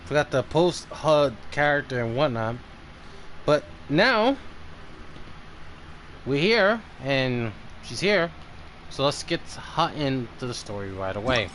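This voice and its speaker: A man's voice: medium pitch, very animated, slow, long pauses, a bit bright, a little hiss or hum. A man hosting a casual game live stream, thinking aloud in a loose, rambling way.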